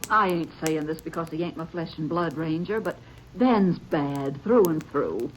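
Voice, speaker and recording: A woman's voice: low voice, unhurried, a little hiss or hum, rough recording. A blunt country stepmother. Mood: plainspoken. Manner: drawling country speech. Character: rural stepmother, plainspoken and blunt. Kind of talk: 1950s radio drama acting.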